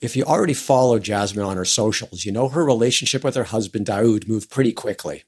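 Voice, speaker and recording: A man co-hosting a finance show, talking in a measured, thoughtful way and narrating the segment. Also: on the low side, darkish tone, husky, no echo, studio quality. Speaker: podcast co-host.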